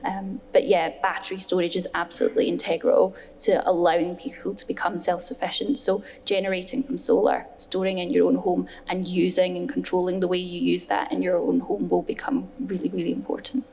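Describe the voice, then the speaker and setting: An earnest woman on a discussion panel, speaking in a lowish, fairly level voice. Her words ring out with a long reverb from a large hall. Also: flat delivery, a little fast, creaky, hardly any pauses, darkish tone, slightly rough. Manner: earnest, emphatic, repeats words.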